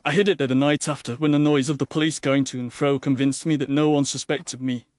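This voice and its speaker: A man voicing a fanatical, bitter young gentleman villain, intense and florid in his speech. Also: mid-range voice, flat delivery, brisk, dry recording, pitched above the performer's own voice, natural voice. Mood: confessing.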